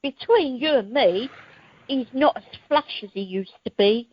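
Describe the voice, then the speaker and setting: A woman's voice: on the high side, lively intonation, measured pace, breathy edge. A woman in an amateur radio play, playing a brash, flirty wife with a cheeky, colloquial manner. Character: brash, flirty married woman with expensive tastes. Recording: dull telephone conference line.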